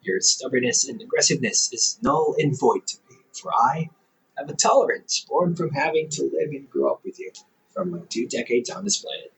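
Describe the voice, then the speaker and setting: A young man playing a caring, gently stubborn older brother around twenty in an intimate audio roleplay, teasing softly with hesitant pauses. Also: medium pitch, very animated, slight background noise, lightly acted, rough recording. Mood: gentle, stubborn. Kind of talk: intimate audio roleplay, one-sided conversation.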